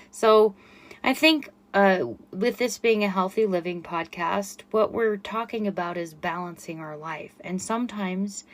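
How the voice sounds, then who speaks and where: A middle-aged woman with a quiet voice hosting a solo podcast, chatty and self-effacing with frequent fillers. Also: lowish voice. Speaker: podcast host and running coach. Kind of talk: casual solo podcast monologue.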